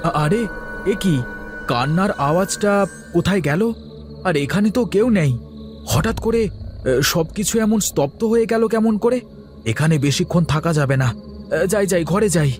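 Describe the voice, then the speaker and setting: A man's voice: high voice, lively intonation, moderate pace, long pauses, music in the background. A man playing an anxious, curious village husband in a horror cartoon, with exclamatory, repetitive urgings. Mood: uneasy. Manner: exclamatory, agitated, repeats short urgings. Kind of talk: animated horror cartoon dialogue.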